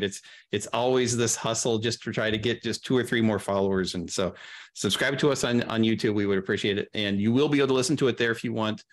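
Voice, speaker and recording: A middle-aged man chatting casually as an interview guest, explaining production matters at length and in practical detail on a video call. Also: medium pitch. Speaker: guest, audio drama producer. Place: indoor room, video call.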